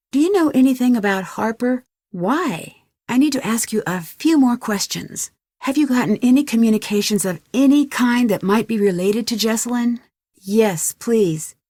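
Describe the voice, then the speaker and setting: A woman's voice: medium pitch, a little mellow, no echo, studio quality. An actress playing a young female amateur detective in a video game, speaking scripted dialogue in an earnest, polite, questioning way.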